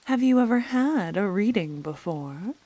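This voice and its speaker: A woman voicing a flirtatious, mysterious female fortune teller in an ASMR roleplay, teasing in a soft whisper. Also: mid-range voice, animated, a little slow, no room sound.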